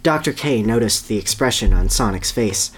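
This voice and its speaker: A podcast host giving an animated dramatic reading of a story, with amused asides. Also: medium pitch, moderate pace, breathy edge.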